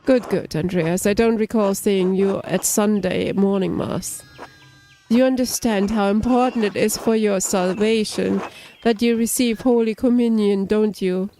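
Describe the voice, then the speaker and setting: A woman's voice: mid-range voice, fairly even intonation, a little slow, dark tone, slight background noise, lightly acted. A woman reading aloud the lines of a stern older male priest in a story game, in a formal, reproving way.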